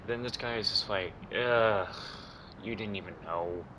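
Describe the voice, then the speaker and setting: A man's voice: fairly low pitch, unhurried, noisy background. A man giving casual, rambling, informal commentary as host of a video game playthrough. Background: game music.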